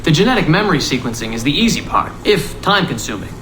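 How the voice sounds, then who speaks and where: A man's voice: on the high side, big pitch swings, fast talker, pushed and bright. A man playing a breezy, self-assured scientist in theatrical audio drama, jaunty, suave and given to pontificating. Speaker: senior scientist. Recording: audible background ambient noise.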